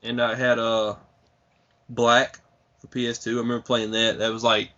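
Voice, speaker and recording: A man's voice: mid-range voice, moderate pace. Twenty-seven-year-old man reminiscing, lo-fi. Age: 20s. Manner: reminiscing, earnest, steady. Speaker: podcast guest. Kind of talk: casual chat. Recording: dull lo-fi podcast mic.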